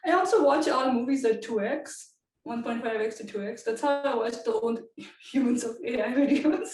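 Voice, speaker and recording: A woman's voice: fairly high pitch, normal speed, darkish tone. Chatty woman in an echoey room. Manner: chatty, laughing easily, thinking aloud. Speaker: researcher. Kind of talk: casual interview answers. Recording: echoey room, distant from the mic.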